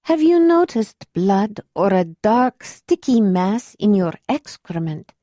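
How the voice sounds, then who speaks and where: A woman voicing a calm foreign healer with an unusual accent, asking careful, gentle questions. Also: medium pitch, slow pace, no echo, clean studio sound. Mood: probing. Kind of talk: audiobook character voice.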